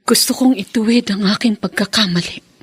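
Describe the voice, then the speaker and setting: Very breathy, repentant mother. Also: mid-range voice, a little fast, no echo. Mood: gentle, repentant. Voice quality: very breathy, weak. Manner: gentle, pleading. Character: repentant, grateful mother recovering from harm. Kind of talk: Filipino radio drama acting.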